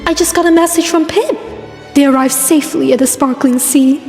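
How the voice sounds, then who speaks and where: Mother queen pony, female, cartoon dub, woman actor: doting, brisk, chatty, high voice with long reverb. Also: a little mellow. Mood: doting, breezy. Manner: affectionate, chatty, motherly fussing. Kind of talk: cartoon voice acting in a comic dub. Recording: very echoey, music underneath.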